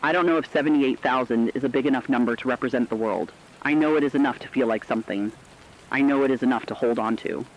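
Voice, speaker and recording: A man playing a reflective radio host, telling scripted human stories in plain, deliberate sentences. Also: mid-range voice, muffled recording, a little hiss or hum, close to an everyday voice. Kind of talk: scripted storytelling narration.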